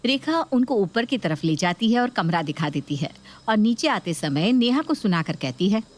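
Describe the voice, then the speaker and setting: Animated woman story narrator. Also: mid-range voice, normal speed.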